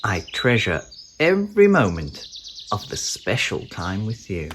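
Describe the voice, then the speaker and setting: A narrator reading a picture book aloud to young children in a gentle, affectionate way with drawn-out phrasing. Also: low voice, wildly swooping pitch, background noise. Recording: music behind the voice. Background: music.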